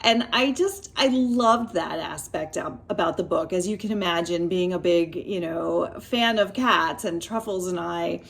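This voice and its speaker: A woman's voice: fairly low pitch, a little slow, gravelly, hardly any pauses. Chatty woman reviewing books casually. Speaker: book reviewer vlogger. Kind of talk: casual chatty book review and personal storytelling.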